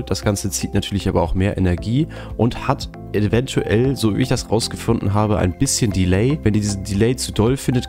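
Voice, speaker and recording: A man narrating a software tutorial in an informal, explanatory way. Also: on the low side. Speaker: YouTube tutorial host. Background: background music.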